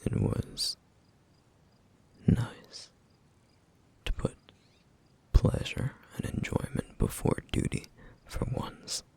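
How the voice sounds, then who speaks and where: A man playing a somber, duty-bound, protective flame demon in an ASMR roleplay, speaking in a hushed, halting, formal way with a rough, breathy voice. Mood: remorseful. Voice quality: breathy, husky, rough whisper. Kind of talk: ASMR roleplay, intimate scripted monologue.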